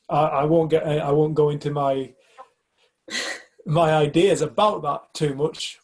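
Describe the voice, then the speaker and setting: A man's voice: a little high, vocal fry, a little mellow. A man from the UK in a casual group discussion, talking reflectively and hesitantly, often restarting his sentences. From United Kingdom. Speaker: community group member.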